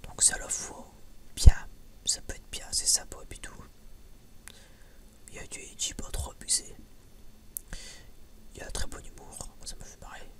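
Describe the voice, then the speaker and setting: Soft whisper, breathy and unvoiced, ASMR chat, informal, hesitant, rambling. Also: moderate pace, long pauses. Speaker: ASMR video creator.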